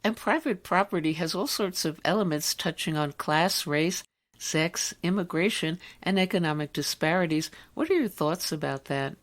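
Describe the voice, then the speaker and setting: A man's voice: fairly high pitch, moderate pace, a bit bright. A man working as a radio interviewer, putting prepared, formal questions to a guest.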